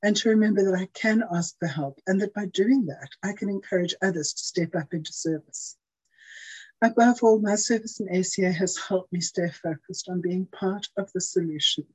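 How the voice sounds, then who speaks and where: Lady, breathy voice, measured earnest talk over a video call. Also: medium pitch, a little mellow, poor audio. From South Africa. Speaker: outgoing intergroup chair giving a service talk. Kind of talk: prepared speech on a video call.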